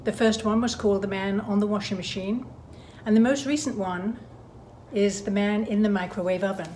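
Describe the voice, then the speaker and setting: A woman's voice: medium pitch, fairly even intonation, normal speed, a little mellow, slight background noise. A middle-aged woman, a novelist, introducing herself and her mystery books to a phone camera indoors. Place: indoors at home.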